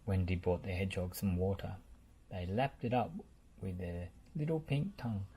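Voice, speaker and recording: A storyteller reading a children's picture book aloud in a measured, deliberate way, with background noise. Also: sits low, warm tone, slightly rough.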